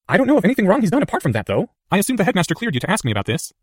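A man's voice: high voice, huge pitch swings, racing, dry recording, clean studio sound, voice pushed up, full character voice. A man reading the part of a clever young boy wizard, talking in an earnest, urgent way.

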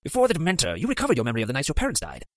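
Guilt-ridden potions master, formal and pained, lively pitch, brisk delivery, read by a man. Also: no echo, clean studio sound. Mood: grave.

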